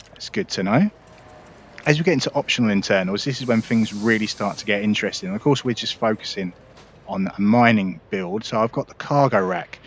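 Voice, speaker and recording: A man with a fairly low voice talks viewers through a game in a relaxed, explanatory walkthrough. He keeps it chatty, full of "uh"s and small restarts as he goes. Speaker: gaming video host. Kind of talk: casual gameplay walkthrough.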